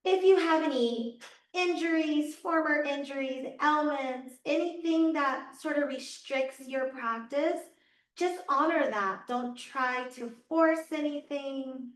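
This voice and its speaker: A woman yoga teacher guiding a class through slow, soothing cues, her voice a little raspy from losing it. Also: high voice. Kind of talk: guided yoga class instruction. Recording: echoey room, voice from far off.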